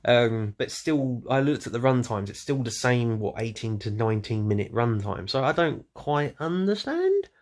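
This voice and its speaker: A man's voice: mid-range voice, big pitch swings, moderate pace, few pauses. A man talking casually and off the cuff as an online reviewer, rambling loosely and often trailing off or correcting himself. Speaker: YouTube reviewer. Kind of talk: casual unscripted audio drama review.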